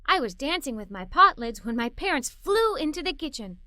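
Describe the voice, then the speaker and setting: A woman voicing an excitable, curious little girl who tells a children's story in a playful, expressive way. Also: high-pitched, brisk, no room sound, studio quality, heavily acted. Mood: cheerful, excited. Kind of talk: scripted children's story read-aloud.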